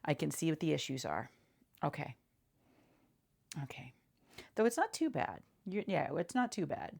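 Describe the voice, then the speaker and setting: A woman speaking softly and casually in an ASMR roleplay as a seamstress, halting and often repeating small reassurances in a murmur. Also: sits low, very animated, vocal fry, husky. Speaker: ASMR creator playing a seamstress. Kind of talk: soft-spoken ASMR roleplay.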